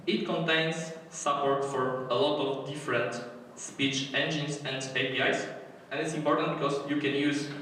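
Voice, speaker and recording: A man's voice: a little high, fairly bright tone. Polish-accented man in an echoey hall. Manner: hesitant, many fillers and restarts. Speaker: workshop presenter. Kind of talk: live coding workshop talk. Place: conference hall. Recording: echoey hall, distant mic, faint noise.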